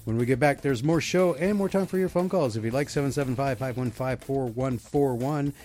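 A man's voice: medium pitch, a little fast, a little mellow, soft background music. A man hosting a radio show in a studio, chatting casually and reading news items aloud with frequent restarts.

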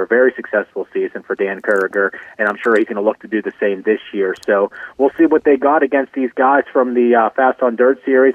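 A man's voice: lowish voice, dry recording. An American man in his thirties, a track announcer talking casually as a radio guest over the phone, sometimes repeating words. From Pittsburgh area, United States. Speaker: speedway announcer, show guest. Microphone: telephone call. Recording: tinny phone line.

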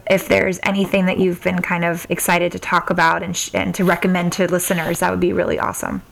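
A woman's voice: fairly low pitch, level tone, fast talker, vocal fry, rough recording. A woman hosting a podcast in a casual, chatty and effusive way. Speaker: podcast host. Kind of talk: casual interview and podcast sign-off.

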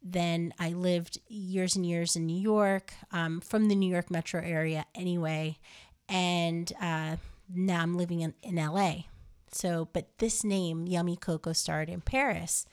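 A woman's voice: fairly low pitch, steady tone, a little slow, a little mellow. A woman from New Jersey hosting her podcast, chatting casually with lots of ums and uhs. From New Jersey, USA. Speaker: podcast host.

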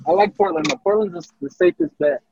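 A man co-hosting a casual sports podcast, talking in an animated way with stammered restarts. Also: high voice, fast, gravelly, dull audio, a little hiss or hum. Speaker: podcast co-host.

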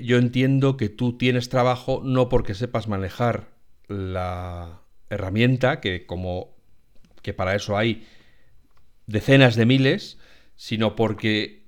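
Smooth-voiced man asking winding questions. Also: mid-range voice. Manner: long winding questions, many affirmations. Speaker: interviewer, host. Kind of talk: conversational interview.

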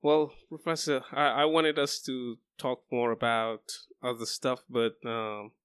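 A man hosting an interview podcast, speaking in a measured, polite way. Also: mid-range voice, level tone, a bit bright, clean tone, dry recording. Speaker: podcast host.

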